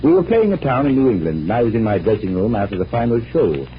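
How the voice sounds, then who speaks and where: An actor playing a composed, mysterious stage magician and detective, with polished and deliberate speech in old-time radio style. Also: male voice, on the low side, sing-song. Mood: genial, mysterious. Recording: muffled old radio recording, faint noise.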